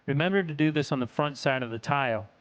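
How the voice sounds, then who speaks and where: A man reads scripted technical narration for an instructional video in a measured, instructional way. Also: on the high side, fairly bright tone, clear, resonant tone, dry recording.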